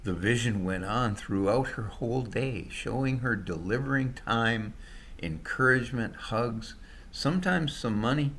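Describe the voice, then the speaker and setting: A man's voice: fairly low pitch, gravelly, fairly bright tone. A man reading his own written story aloud as a scripted narration. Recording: noticeable background noise.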